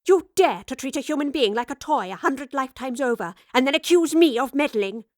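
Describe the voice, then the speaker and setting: A woman's voice: high voice, animated, a little fast, no room sound, studio quality, voice pushed up. A woman doing a bold, fiery lady professor. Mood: raging. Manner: crisp, teasing, then impassioned. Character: bold principled woman professor, fierce and wry.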